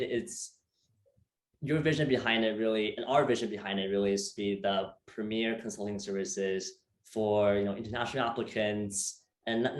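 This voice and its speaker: A young man from Taiwan hosting a casual interview, upbeat and full of fillers and repeated words. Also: fairly low pitch. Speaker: host. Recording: echoey room.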